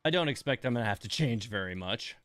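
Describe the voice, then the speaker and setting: A man streaming a video game, thinking aloud in a relaxed, informal way as he gives casual live commentary. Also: mid-range voice, fast, no echo.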